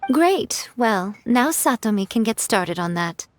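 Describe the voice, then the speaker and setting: A woman's voice: fairly high pitch, sing-song, unhurried, soft background music. A woman voicing a sly, elegant lady editor in a video game, poised, playful and knowing. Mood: pleased. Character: sly, elegant, teasing lady editor, worldly and self-assured. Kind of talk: video game character acting.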